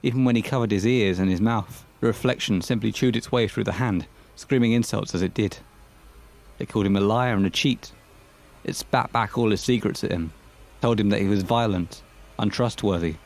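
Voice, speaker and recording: Low-voiced man narrating a horror tale. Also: moderate pace. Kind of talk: scripted horror story narration.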